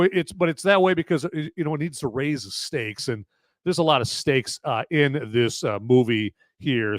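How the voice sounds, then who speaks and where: A man hosting a live movie review podcast, with a loud voice that carries, who rambles casually with lots of fillers and restarts. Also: medium pitch, no room sound.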